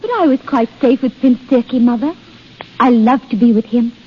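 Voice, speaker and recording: An actress playing an innocent, lovestruck but resolute young daughter, earnest and dreamy, in 1930s radio drama style. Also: female voice, fairly high pitch, normal speed, husky. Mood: tender. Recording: muffled 1930s radio broadcast.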